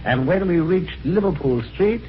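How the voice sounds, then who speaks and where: A man's voice: high-pitched, lively intonation, moderate pace, slight background noise. An actor playing a well-spoken British amateur sleuth, telling his story conversationally in a radio mystery. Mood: measured storytelling. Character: genteel British amateur sleuth recounting his eerie adventure. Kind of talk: 1950s radio mystery acting, first-person narration. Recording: dull old radio recording, music behind.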